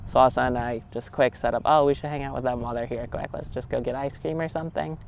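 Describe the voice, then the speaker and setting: A man's voice: medium pitch, fairly even intonation, fast talker, vocal fry, background noise. A young man telling his own love story in a casual, conversational way. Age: young adult. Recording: music behind the voice. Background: music.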